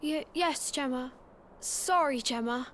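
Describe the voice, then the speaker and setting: Little game village boy, piercingly high, slow, timid, easily frightened, noisy background. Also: fairly bright tone. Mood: sheepish. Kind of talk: video game voice acting.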